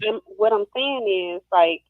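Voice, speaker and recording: A woman's voice: mid-range voice, measured pace, no echo. A woman calling in to a talk show, speaking hesitantly with many fillers. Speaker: caller. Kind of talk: phone call-in. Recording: phone line.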